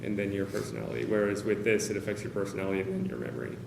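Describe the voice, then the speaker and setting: A man speaking candidly to a meeting of caregivers, hesitant, with many pauses and fillers. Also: fairly low pitch, lively intonation, creaky. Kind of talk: personal talk to a small group. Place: meeting room with a group of about 45 people. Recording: roomy, noisy meeting-room recording.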